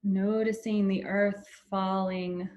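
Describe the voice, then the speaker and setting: Woman doing guided meditation, real slow n soothing, flat steady pitch, lots of pauses. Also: muffled recording. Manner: soothing, unhurried, with long pauses.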